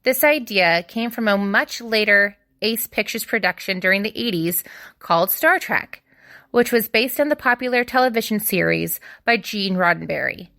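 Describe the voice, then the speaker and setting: Female history podcast host played by an actress in a fiction podcast: bright, forceful tone, measured explanatory delivery with opinionated asides. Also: medium pitch, animated, vocal fry. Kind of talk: scripted podcast narration.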